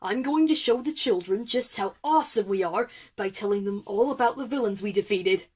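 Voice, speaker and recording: A woman's voice: mid-range voice, a little fast, darkish tone, slightly rough, natural voice. A woman voicing a boastful, jealous male superhero commander with a showy, emphatic manner in an audio drama for children. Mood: proud.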